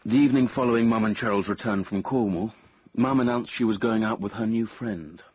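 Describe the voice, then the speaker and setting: A man's voice: fairly low pitch, fairly quick, darkish tone, slightly rough, dry recording. An actor playing an anxious, lovesick young man in a radio drama, earnest and confiding as he tells his own story. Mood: confiding, brooding. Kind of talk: radio drama acting and first-person narration.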